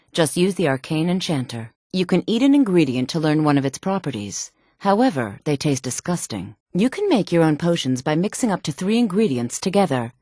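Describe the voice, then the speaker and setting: Low, sultry fantasy game temptress. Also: female voice, darkish tone, no room sound, clean studio sound. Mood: matter-of-fact. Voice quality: smooth, sultry. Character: sultry, self-assured fantasy woman, coolly condescending and flirtatious. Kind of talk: fantasy video game character dialogue.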